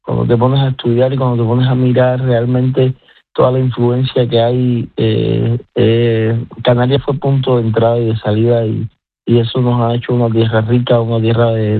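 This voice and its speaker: A man's voice: medium pitch, flat delivery, moderate pace, dry recording. A man with a Cuban Spanish accent, a chef speaking as a guest in a radio interview, talking with many restarts. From Cuba. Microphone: telephone. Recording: tinny phone line.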